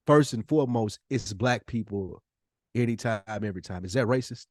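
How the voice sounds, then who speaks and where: A man's voice: fairly low pitch, moderate pace, dry recording, studio quality. A man from the United States talking as a podcast host in casual, opinionated chat, slangy and emphatic in his delivery.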